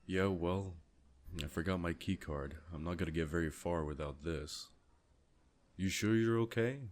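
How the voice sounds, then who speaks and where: Guy doing a caring tired dad in a comic dub, really deep n soft-spoken. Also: normal speed, close to an everyday voice. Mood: gentle concern. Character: concerned, gentle, tired middle-aged father.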